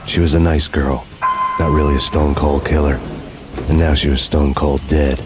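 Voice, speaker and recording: An actor playing a brooding, vengeful hardboiled cop, narrating in a grim, deadpan noir style. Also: male voice, extremely low pitch, moderate pace, over loud music. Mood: somber, resolute.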